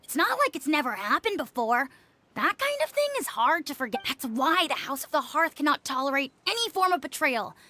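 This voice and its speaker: A woman's voice: high-pitched, big pitch swings, fairly quick, pushed and bright, no echo, heavily acted. A woman voicing an earnest young girl informant who reports on her housemates in a game. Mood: accusing. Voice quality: matter-of-fact, tattling. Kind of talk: game voice acting.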